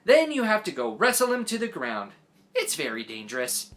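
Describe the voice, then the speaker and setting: A woman voicing an eccentric, excitable storybook aunt who tells tall tales with dramatic emphasis. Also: sits low. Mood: excited, dramatic. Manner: dramatic, emphatic, drawn-out delivery. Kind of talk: storybook character voice for children.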